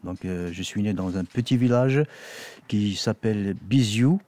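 A man's voice: on the low side, unhurried, warm tone, no room sound. A man from Algeria, a journalist and poet whose first language is not French, answering in an interview with many hesitations and reading a poem. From Algeria (Kabylie). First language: Kabyle or Arabic, not French. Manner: hesitant, many fillers and restarts.

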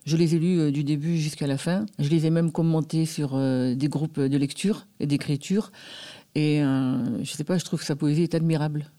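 Man, interviewee, hesitant, fillers, warm soft tone. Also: on the high side, fairly even intonation, normal speed. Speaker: local committee member. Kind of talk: interview answers.

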